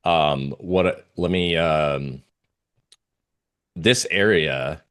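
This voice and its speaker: A man giving informal live market commentary as a guest analyst, halting and searching for his words. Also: very deep voice, a bit bright, smooth.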